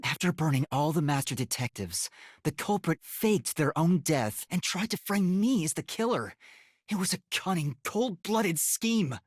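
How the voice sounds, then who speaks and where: An earnest young detective from a video game, boyish and slightly husky. His delivery swings widely in pitch, timid at heart yet determined, with every word carefully placed. The recording is close and dry. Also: no echo. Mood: indignant. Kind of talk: English video game voice acting, scripted.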